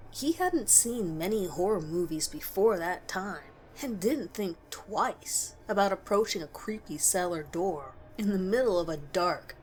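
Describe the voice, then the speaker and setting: A woman reading a scripted first-person horror story in a measured storytelling way with expressive emphasis. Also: on the low side, slight background noise, poor audio.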